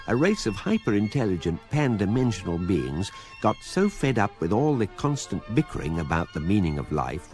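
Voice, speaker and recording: Deep-voiced wry narrator. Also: male voice. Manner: wry, measured, deadpan. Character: dry, urbane guidebook narrator. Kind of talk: scripted comic narration.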